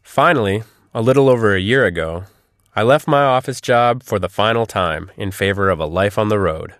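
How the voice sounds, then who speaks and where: A man with a fairly low voice plays the guest in an interview. He delivers prepared, read-aloud answers in a dry recording with no echo. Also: moderate pace, fairly bright tone.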